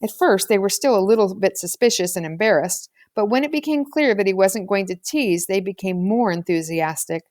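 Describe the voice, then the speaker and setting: A woman's voice: medium pitch, expressive, moderate pace, few pauses, darkish tone. A woman reading a children's novel aloud as scripted audiobook narration. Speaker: audiobook reader.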